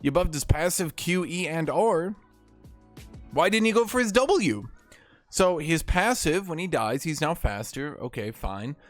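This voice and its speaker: A man with a slightly hoarse voice hosting a gaming video, ranting with sarcastic, salty commentary. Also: fairly high pitch, lively intonation, unhurried, dry recording, faint music behind.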